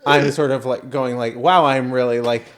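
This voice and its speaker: A man's voice: medium pitch, steady tone, normal speed. Guy podcast host chatting casually, mid voice, jokey and poking fun at himself. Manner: playful, self-mocking storytelling. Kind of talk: casual comedic banter.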